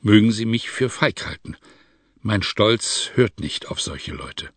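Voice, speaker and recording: Steadfast desert companion, male narrator: level-headed, proud, quiet and firm, audiobook drama. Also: fairly low pitch, animated, slow pace, dry recording. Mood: calm, proud.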